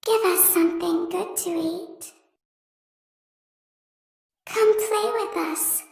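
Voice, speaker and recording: A woman voicing a creepy childlike animatronic girl in a video game, playful and sinister, with slow sing-song taunts. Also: very high voice, fairly even intonation, gravelly, darkish tone, heavily acted. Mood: creepy playfulness. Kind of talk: video game character voice lines. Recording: echoey, with music behind.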